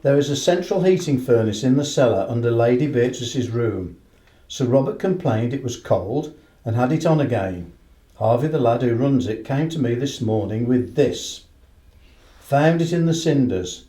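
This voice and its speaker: An actor playing an earnest, worried horse trainer in a radio play, plain-spoken and respectful. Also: male voice, medium pitch, animated, normal speed, mellow, natural voice. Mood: earnest, uneasy. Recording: roomy, echoing radio play recording.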